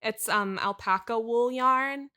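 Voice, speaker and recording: Bright, whimsical dream girl played by a woman. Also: fairly high pitch, slow. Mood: earnest, quirky. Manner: airy non sequiturs stated with complete sincerity. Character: whimsical, earnest manic pixie dream girl, a young woman. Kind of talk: improvised comedy mock interview.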